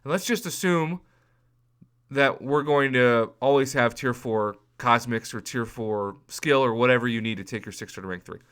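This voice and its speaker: Hoarse guy in his 30s, bright forceful voice, fired up going over game prices. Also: mid-range voice, normal speed. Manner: animated, emphatic, working through prices aloud. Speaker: mobile game YouTuber. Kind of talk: casual video commentary.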